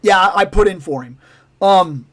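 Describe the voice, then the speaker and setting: A man hosting a toy livestream in casual, rambling chat, with a voice going raspy and hoarse. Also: high-pitched, normal speed. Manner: rambling, animated, self-interrupting. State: raspy, losing his voice. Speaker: toy channel livestream host.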